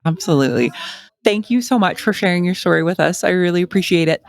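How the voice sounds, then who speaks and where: A woman's voice: on the low side, fast talker, gravelly. A woman, speaking as a podcast host and interviewer.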